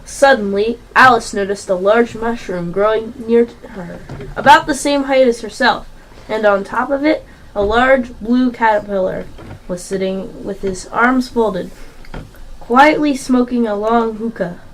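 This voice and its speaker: A woman's voice: on the high side, a little slow, a little hiss or hum, close to an everyday voice, low-quality recording. A female performer narrating a storybook tale in an amateur radio play, reading from a script.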